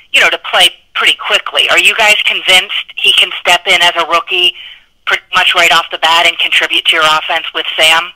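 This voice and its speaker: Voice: mid-range voice, pushed and bright. A sports reporter asking press conference questions over a tinny phone line.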